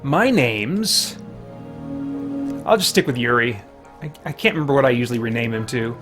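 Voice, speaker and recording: A man's voice: mid-range voice, fast talker. A man giving casual game commentary as a streamer, talking to his viewers. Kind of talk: reads game dialogue aloud. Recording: music playing behind the voice. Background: music.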